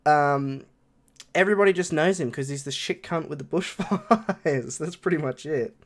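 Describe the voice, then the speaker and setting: Swearing Australian streamer, high voice. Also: male voice, moderate pace. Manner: crude, swearing, laid-back banter. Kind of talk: live stream commentary.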